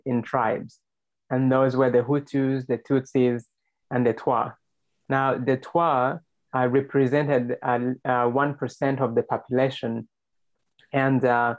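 Guy from rwanda telling his story slow and careful, lots of uh, monotone, kinda muffled audio. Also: medium pitch. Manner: measured, hesitant, many fillers. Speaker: guest, spokesperson. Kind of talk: interview storytelling.

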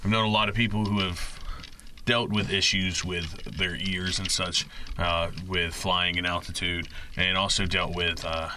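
A man's voice: sits low, flat delivery, measured pace, pushed and bright, slight background noise. A man co-hosting a casual podcast interview, talking in a rambling, chatty way. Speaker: podcast co-host, bartender.

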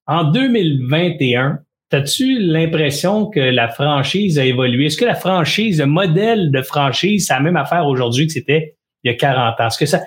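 A man with a Québécois French accent hosting an interview, reacting with enthusiasm and asking questions in a conversational way. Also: on the high side. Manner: enthusiastic, quick to react with wows. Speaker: podcast host.

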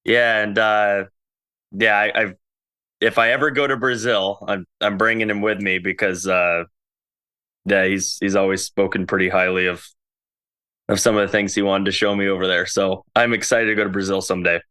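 A man's voice: lowish voice, fairly even intonation, normal speed, bright, forceful tone, clear, resonant tone. A man hosting a podcast interview, asking questions in a friendly, chatty way. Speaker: podcast host. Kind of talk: casual interview chat.

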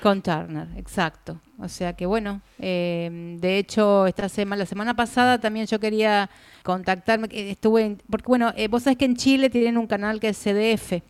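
An Argentine woman co-hosting a casual industry chat, giving news in a measured way with fillers. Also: medium pitch, steady tone, a little mellow, clean tone, no echo. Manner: measured, informative, hesitant fillers. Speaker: co-host reporter.

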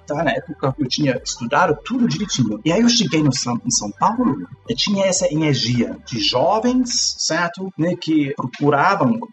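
A man's voice: on the high side, big pitch swings, normal speed, few pauses, muffled recording, over music. A German man in his forties, speaking with a German accent, answers interview questions reflectively and tags his phrases with a questioning "né?". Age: 40s. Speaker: interview guest, tech instructor.

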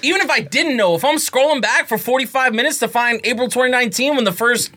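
Bright-voiced insistent man. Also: very high voice, fast talker. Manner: insistent, playful repetition. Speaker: podcast panelist. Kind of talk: casual chat.

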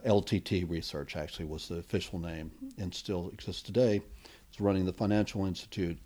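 Deep-voiced middle-aged man. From United States. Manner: relaxed and rambling, with many fillers and restarts. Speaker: guest, venture capitalist. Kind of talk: casual interview answers.

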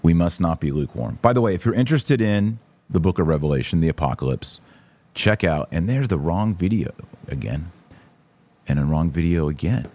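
A man with a low voice hosts a casual livestream podcast. He talks bluntly and conversationally to his viewers, and the recording is dry, free of room sound. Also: animated, a little fast, darkish tone, no echo. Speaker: podcast host and author. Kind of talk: casual livestream commentary, reading viewer comments.

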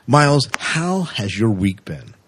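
A man's voice: medium pitch, very animated, takes it slowly, slight background noise. A man co-hosting a podcast in a casual, chatty, jokey way with rambling asides. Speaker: podcast co-host.

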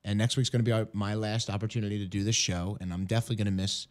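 Man, hoarse voice, radio host, earnest, halting, restarts often. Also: on the low side, steady tone. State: hoarse from illness. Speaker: ministry program director.

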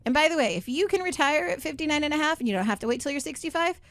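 A woman's voice: sits high, expressive, racing, dry recording. Chatty radio host woman, dry studio sound. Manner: chatty, upbeat, many asides and "right?". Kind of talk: casual talk radio chat.

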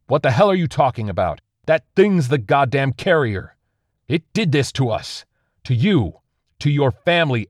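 A man voicing a furious military officer in a hazmat suit who barks commands and shouts. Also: medium pitch, dry recording, studio quality, voice pushed up, natural voice.

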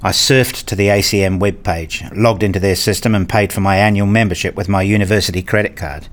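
Guy playing a grumbly wry academic in a radio play, lowish voice, telling his own boring office life. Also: steady tone, brisk, natural voice. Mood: glum, matter-of-fact. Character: frustrated, restless male academic narrating his own dreary days. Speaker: narrator and lead character. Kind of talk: first-person radio play narration and dialogue.

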